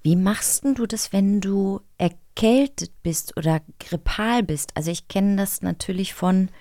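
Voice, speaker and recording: A woman's voice: mid-range voice, measured pace, dark tone, no echo, studio quality. A woman co-hosting a radio drama podcast, asking a guest curious questions and explaining a game. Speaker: podcast co-host. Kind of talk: casual conversational chat. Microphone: studio microphone.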